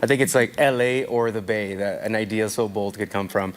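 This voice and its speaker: Earnest man from El Salvador, lowish voice. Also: brisk, a little hiss or hum. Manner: earnest, reflective. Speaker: panel guest. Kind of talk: live panel conversation.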